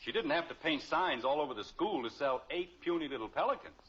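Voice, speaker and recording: Flustered American suburban dad, lightish voice, sarcastic sputter, muffled old radio sound. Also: male voice, on the high side, lively intonation, fairly quick, a bit bright, husky. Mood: exasperated. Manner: sputtering, sarcastic, hesitant when cornered. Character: exasperated suburban father, easily flustered, fond of his children. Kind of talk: 1950s radio sitcom acting.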